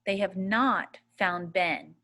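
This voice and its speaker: A worried mother, voiced by a woman reading a novel aloud, serious and caring. She speaks with grave concern, her pitch often a little raised above plain narration. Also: slow pace, bright, forceful tone.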